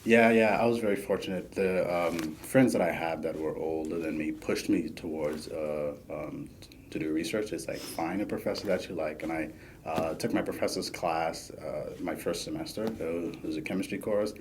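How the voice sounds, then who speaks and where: A man, a podcast guest talking casually with many pauses and fillers. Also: sits low. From Bahamas. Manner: relaxed, many fillers while thinking. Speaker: podcast guest, PhD student. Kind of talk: casual interview chat.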